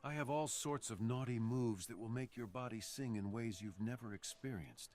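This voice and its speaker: A man voicing a brooding, seductive fox assassin in an intimate ASMR roleplay, speaking slowly and earnestly with dramatic pauses. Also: mid-range voice, a little mellow, close to an everyday voice. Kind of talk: ASMR roleplay, slow intimate scripted monologue.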